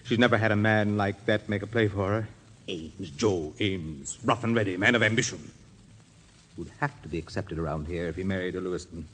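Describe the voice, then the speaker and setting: An actor playing an unstable, possessive older brother in a 1940s radio drama, intense, bitter and rambling. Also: male voice, low voice, brisk, a bit bright, breathy edge. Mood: bitter, agitated. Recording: faintly noisy old radio recording.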